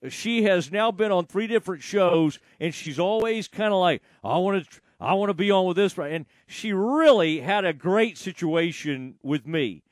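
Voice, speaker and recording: A man hosts a radio show in a chatty way, asking loose, rambling questions. His voice is high for a man, and it sounds dry and close with no room around it. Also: animated, normal speed, hardly any pauses, no room sound. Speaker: radio host. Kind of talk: casual radio chat.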